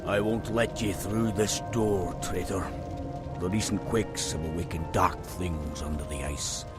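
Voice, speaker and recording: An actor playing a gruff, defiant dwarf warrior in a video game, with a gravelly voice. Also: male voice, deep voice, measured pace. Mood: grim defiance. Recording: voice under loud game music.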